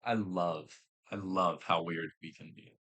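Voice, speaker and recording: A young man with a Southern accent who works in fast food, telling a work story in casual chat. He swears often, laughs a lot and acts out the people he quotes. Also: deep voice, steady tone, pushed and bright. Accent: American Southern. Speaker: podcast co-host.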